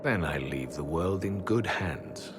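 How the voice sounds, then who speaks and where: An actor playing a weary, solemn old elven hunter-mage in a video game, speaking in a grave, measured, formal way. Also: male voice, sits low, animated, music in the background. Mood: weary, resigned. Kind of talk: dramatic video game character acting.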